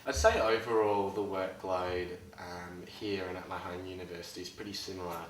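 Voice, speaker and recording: A man's voice: sits low, steady tone, normal speed, slight background noise. A young man with an Australian accent, an exchange student giving easygoing answers in an interview. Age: young adult. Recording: echoey room, mic at a distance.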